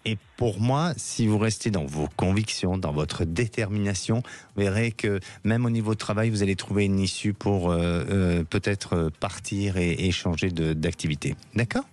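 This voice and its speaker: Man, radio psychic host, gentle, halting, lots of fillers, lowish voice, studio mic. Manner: hesitant, many "euh" fillers, gentle reassuring. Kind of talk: live call-in consultation.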